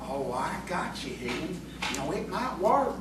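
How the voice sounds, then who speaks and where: Lively, high-pitched country crony played by a man. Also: measured pace. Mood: sly. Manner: folksy country phrasing. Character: rustic sly crony, placating his hot-headed boss. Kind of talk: audio theatre acting. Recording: echoey, distant, noisy stage recording.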